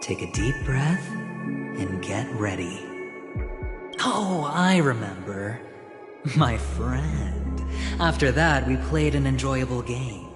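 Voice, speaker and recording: Suave, theatrical gambler with swooping pitch. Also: male voice, expressive, reverberant, music in the background. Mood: teasing, ominous. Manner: theatrical, insinuating, deliberate with dramatic pauses. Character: suave, theatrical, calculating male businessman and gambler. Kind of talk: video game voice acting.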